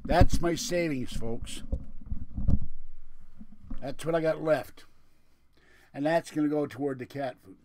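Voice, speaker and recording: A man's voice: on the high side, slow, long pauses, darkish tone, a little hiss or hum, rough recording. A man with a lisp chatting casually and rambling to viewers on a livestream, with a digressive, grumbling manner. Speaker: livestream host.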